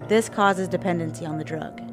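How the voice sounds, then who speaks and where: Lowish woman narrating news report. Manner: measured, informative delivery. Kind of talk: scripted narration. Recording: voice-over with music bed.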